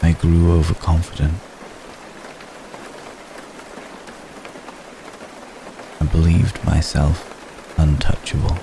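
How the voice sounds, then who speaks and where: A man playing an ancient, brooding, remorseful vampire gentleman in an intimate ASMR roleplay, speaking formally and solemnly with long, measured pauses. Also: rumbling low. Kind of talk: ASMR roleplay, intimate slow dramatic monologue. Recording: steady rain noise behind the voice. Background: rain.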